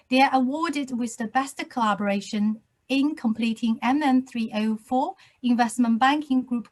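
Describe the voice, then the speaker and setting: Woman lecturer interviewing, fairly high voice, slow formal delivery, video call. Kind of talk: scripted introduction and interview questions.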